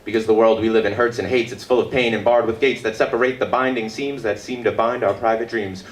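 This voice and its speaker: A man performing his own spoken word poetry at a live reading, in a rhythmic, dramatic delivery with deliberate pauses. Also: on the low side, steady tone. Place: indoor book release reading before an audience. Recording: echoey live room with background noise.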